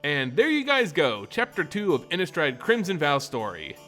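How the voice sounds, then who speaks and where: A man narrating a scripted fantasy story and recap in a dramatic, expressive way. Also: a little high, moderate pace, soft background music. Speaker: narrator and host of a lore channel.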